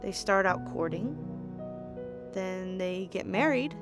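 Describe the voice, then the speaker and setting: A woman's voice: medium pitch, animated, takes it slowly. A woman playing a principled, concerned doctor in an audio drama, earnest and articulate. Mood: tender. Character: principled, concerned female doctor, insistent yet tender. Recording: music under the voice.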